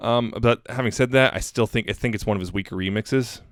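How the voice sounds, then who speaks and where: A man co-hosting a podcast in casual, unscripted chat, low-key and often rephrasing and hedging his opinions. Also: fast, no echo, clean studio sound. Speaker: podcast co-host reviewing fan music.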